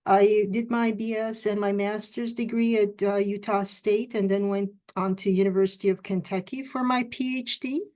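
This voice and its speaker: A woman's voice: medium pitch, steady tone, normal speed, few pauses, a little mellow. A middle-aged woman from Turkey who grew up in Italy, a food science professor giving an unscripted lecture over a video call, with frequent ums and uhs. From Turkey, raised in Italy.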